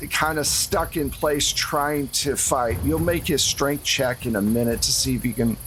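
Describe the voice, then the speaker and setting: A man's voice: medium pitch, unhurried, noisy background. Casual man running a tabletop game. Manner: casual, conversational. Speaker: game master. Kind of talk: narrating a tabletop horror roleplaying game.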